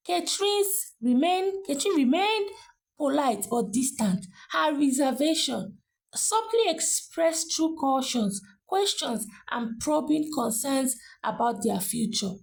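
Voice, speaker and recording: A woman narrates a scripted story in a deliberate, dramatic way, pausing between phrases. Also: sits high, very animated, vocal fry.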